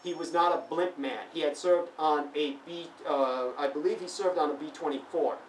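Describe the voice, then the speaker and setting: A man's voice: a little high, normal speed. Lecturing man, emphatic, roomy distant audio, faint noise. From Taiwan. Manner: lecturing, grows heated and emphatic. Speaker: interviewee. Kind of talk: monologue answering online comments. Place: convention venue.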